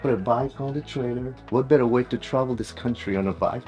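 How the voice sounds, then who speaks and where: A middle-aged man from South Korea with a Korean accent, chatting casually and haltingly, often saying "you know". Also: mid-range voice, mellow, faint music behind. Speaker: client visiting a workshop. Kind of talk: casual conversation.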